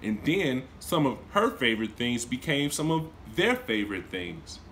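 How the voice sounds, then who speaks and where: Man, thirties, kindergarten teacher, storybook read-aloud, very lively melodic delivery, mid pitch, close phone mic, small room. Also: normal speed, slight background noise. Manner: expressive storytelling with lively swings in pitch and volume; warm, encouraging talk to young children. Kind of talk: reading a picture book aloud to children. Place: small indoor room, seated on a couch.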